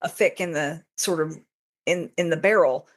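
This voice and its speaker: Female podcast guest, slightly low voice, chatty and informal, given to long explanations. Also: moderate pace. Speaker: podcast guest, fan fiction author. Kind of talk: casual chat.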